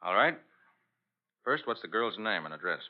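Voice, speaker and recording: An actor playing a brisk, self-assured master detective in 1940s radio style, putting questions in a businesslike way. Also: male voice, on the low side, sing-song. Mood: brisk, composed. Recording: muffled old radio recording.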